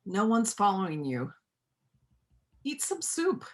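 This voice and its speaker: A woman acts out a stern rural veterinarian in a stage play reading. Her pitch moves in a lively way, yet the words are terse and matter-of-fact. She comes across as practical and unsentimental. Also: takes it slowly. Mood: brisk, practical. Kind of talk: readers' theater play acting.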